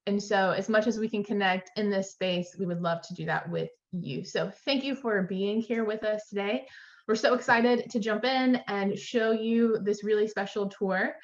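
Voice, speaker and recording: A woman's voice: medium pitch, normal speed. A woman hosting an online educational tour over a video call, giving a welcoming introduction to the audience. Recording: dull, lo-fi video call audio.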